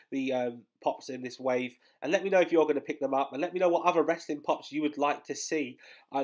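Listening in, you hear a young man who sounds bunged up and under the weather, his voice sitting a bit high for a man. He chats and rambles in a loose vlog voice-over, filling gaps with lots of ums and uhs. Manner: chatty and rambling, many fillers. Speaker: collectibles channel host. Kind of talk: casual news vlog voice-over.

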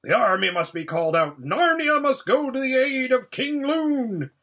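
A man voicing an excitable, bossy but kindly red dwarf from a storybook, with loud, urgent exclamations. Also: very high-pitched, normal speed, pitched above the performer's own voice, full character voice. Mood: urgent alarm.

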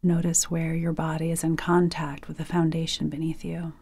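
A woman leading a guided sleep meditation softly and gently, nearly whispering, in short phrases with pauses. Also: sits low, completely flat delivery. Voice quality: breathy, soft, near-whispered.

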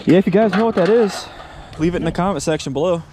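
A man's voice: sits high, quick pace, a little mellow, slight background noise. A man hosting a casual magnet fishing vlog, chatting outdoors by the water. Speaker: magnet fishing video host.

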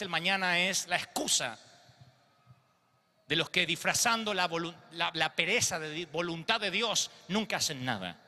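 A man's voice: high voice, pushed and bright. A man, preaching a theatrical stage sermon into a handheld mic and acting out the quotes. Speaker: pastor. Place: large glass church auditorium. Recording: long hall reverb.

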